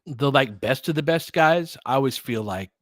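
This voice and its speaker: A man gives informal play-by-play and analysis of a basketball game in a mid-pitched voice. He thinks out loud in a conversational way, like a streamer chatting through the action. The sound is dry, with very little room in it. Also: lively intonation, normal speed, no echo. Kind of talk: casual live commentary mixed with analysis and chat.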